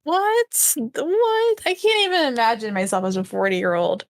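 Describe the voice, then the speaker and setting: A woman in her twenties co-hosts a casual podcast. Her voice sits a bit on the high side and she talks in an animated, informal way. The sound is dry, with no room echo. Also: a little slow. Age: 20s. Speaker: podcast co-host. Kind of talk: casual chat.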